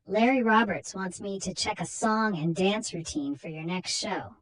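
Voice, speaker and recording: A woman's voice: medium pitch, moderate pace, lightly acted, poor audio. A woman voicing an easygoing, reassuring husband with a casual manner in a horror comic reading.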